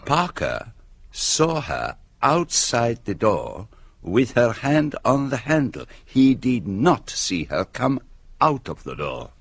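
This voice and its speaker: An actor playing a shrewd, fussy middle-aged detective in a radio play, speaking with polite, precise and faintly teasing care. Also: male voice, mid-range voice, huge pitch swings, takes it slowly, creaky, husky, exaggerated voice. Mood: calm probing. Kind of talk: radio drama acting.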